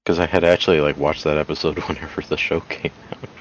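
A man co-hosting a podcast in casual banter, dropping terse, deadpan asides as he recaps. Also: extremely low pitch, quick pace, highs cut off, slight background noise. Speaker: podcast co-host.

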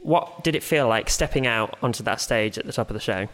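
An interviewer asking a stage actress friendly, brisk questions about her roles. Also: mid-range voice, a bit bright, long reverb tail.

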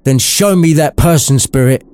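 A man's voice: a little high, moderate pace, a little mellow, a little hiss or hum, voice pushed up, lightly acted. A man voicing a frightened old male miser who pleads in agony, as a storybook character. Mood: pleading, agonized. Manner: agonized, pleading.

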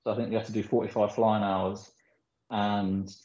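A man with a low, mellow voice tells long stories, full of "you know" and "um". His voice carries a roomy echo and comes through a dull, lo-fi recording. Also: moderate pace. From Philippines. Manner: rambling, animated storytelling with frequent fillers. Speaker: pool player guest. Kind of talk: casual podcast interview.

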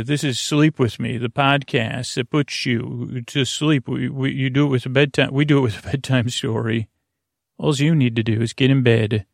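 A man with a creaky voice hosting a sleep podcast, meandering and halting through a soothing bedtime monologue full of tangents and self-corrections. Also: medium pitch, no room sound, clean studio sound. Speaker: podcast host. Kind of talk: rambling bedtime monologue to lull listeners to sleep.

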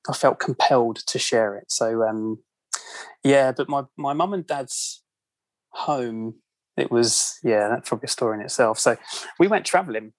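A man's voice: mid-range voice, moderate pace, dry recording, poor audio. A man with a London accent, a podcast guest chatting casually with many ums and self-deprecating asides. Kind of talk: casual interview chat.

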